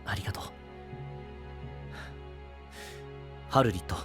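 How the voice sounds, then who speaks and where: A man playing a dutiful guardian knight in an anime-style voice drama, grave, gentle and earnest. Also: very fast, over music, lightly acted. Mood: earnest, resolute.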